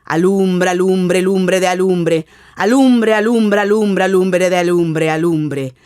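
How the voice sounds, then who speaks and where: Woman narrator, lowish pitch, dramatic, deliberate, literary radio storytelling, dry sound. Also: a little mellow, clean tone, no room sound.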